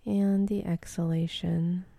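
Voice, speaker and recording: A woman leading a guided meditation in a soft, breathy, half-whispered voice, calm and gentle, with long pauses between phrases. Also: fairly low pitch, no room sound.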